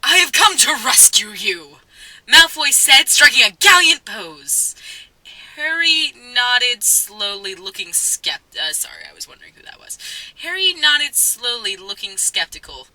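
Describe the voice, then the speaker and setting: A woman's voice: a little high, big pitch swings, unhurried, sharp tone, dry recording, poor audio. A woman narrating a comic dramatic story reading in a playful, mock-dramatic way, often stumbling over her lines.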